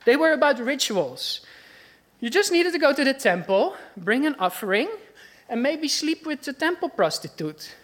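A woman's voice: sits high, very animated, moderate pace. Dutch-accented woman preacher, earnest, conversational, full of rhetorical questions. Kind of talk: sermon.

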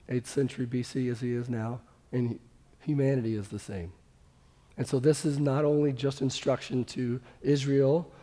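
A pastor with a slightly hoarse voice, preaching a sermon in a conversational, earnest way with frequent restarts. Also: male voice, medium pitch, warm tone, in a large hall. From Kansas City, USA. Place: church sanctuary.

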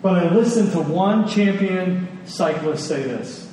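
Male preacher, slightly high voice, conversational yet emphatic, heard at a distance in a reverberant hall. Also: animated, warm tone. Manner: conversational, with emphatic repetition and pauses. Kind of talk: sermon with personal stories. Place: large reverberant room with an audience. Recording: echoey hall, distant, faint noise.

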